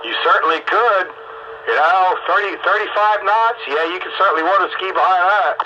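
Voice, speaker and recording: An older man with a fairly high voice talks casually in a group radio chat. He explains things plainly and matter-of-factly, through a dull signal with clear static. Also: brisk, sharp tone. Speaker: radio round table participant, Navy veteran.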